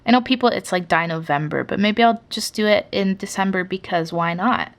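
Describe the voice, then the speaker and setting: A young woman from Canada talks casually through her sketchbook, pitched in an ordinary middle range. She rambles, circles back and fills pauses with little filler words. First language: English. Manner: rambling, chatty, many fillers. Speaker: artist showing her sketchbook.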